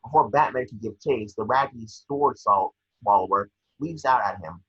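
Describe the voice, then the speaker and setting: A man reading scene descriptions at an amateur table read, with a husky voice. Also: fairly low pitch, moderate pace, a bit bright, dull audio.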